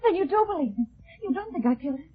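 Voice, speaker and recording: An actress playing an anxious young heiress in a 1950s radio detective drama, with breathless, stammering, melodramatic delivery. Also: female voice, extremely high pitch, lively intonation, quick pace. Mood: frightened, pleading. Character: anxious young heiress suspected of murder, pleading. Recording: muffled, thin vintage 1950s radio recording.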